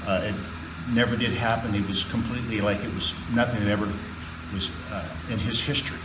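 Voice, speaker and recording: A man with a slightly low voice tells a personal tale in a casual, halting way. He takes his time and pauses often. The recording is roomy and distant, with clear background hum. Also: steady tone. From California, United States. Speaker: guest storyteller. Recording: roomy, distant mic, air-conditioner hum.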